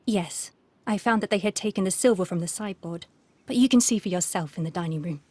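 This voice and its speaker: A woman's voice: medium pitch, fast talker, dark tone, husky, no echo, close to an everyday voice. A woman voicing an unhappy aristocratic Victorian widow with a formal, refined, plaintive manner in a video game. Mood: shaken, recounting. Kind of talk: video game cutscene acting.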